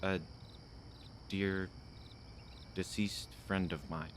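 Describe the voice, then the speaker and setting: A man's voice: sits low, fairly even intonation, very slow, slight background noise. A man acting an earnest, lonely policeman in a radio play. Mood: confiding, wistful. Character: earnest lonely policeman, grieving his dead fiancée.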